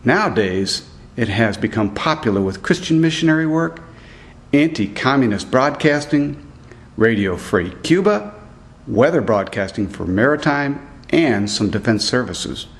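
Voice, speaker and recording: A man narrating a scripted report as a student reporter, reading aloud in a deliberate way. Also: medium pitch, expressive.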